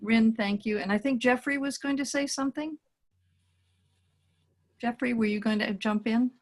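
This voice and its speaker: A woman facilitating an online academic meeting, thanking each speaker warmly and inviting others to join in. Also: a little high, normal speed. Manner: warm and encouraging, thanks speakers. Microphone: video call.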